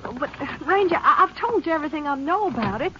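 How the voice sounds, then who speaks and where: A woman's voice: sits high, lively intonation, fast talker, noisy background. An actress playing a nervous young woman witness who stammers and hedges in a 1950s radio drama. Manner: hesitant, stammering. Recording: dull old radio broadcast.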